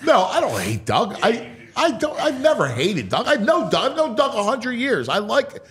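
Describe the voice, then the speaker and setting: A man's voice: very high-pitched, wildly swooping pitch, moderate pace, a bit bright, slightly rough. An American man hosting a sports talk show in casual banter, repeating and restarting phrases for emphasis.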